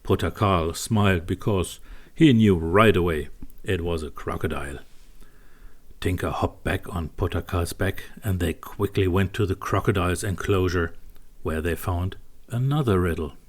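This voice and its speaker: A man narrating a scripted bedtime story for young children in a simple, deliberate way. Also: low voice.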